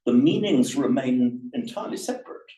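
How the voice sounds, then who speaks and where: A man's voice: mid-range voice, expressive, moderate pace, dark tone. A man teaching a language class, explaining grammar patiently and often repeating words as he thinks. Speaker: Pali teacher. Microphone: room recorder, away from the speaker. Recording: echoey room from a distance.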